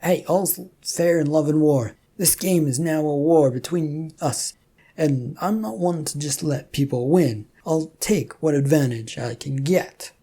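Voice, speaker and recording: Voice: medium pitch, measured pace, warm tone, slightly rough. A narrator voicing a competitive, exasperated man with a blunt, combative manner in an audio story. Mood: defiant. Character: Rufus, competitive, exasperated male player.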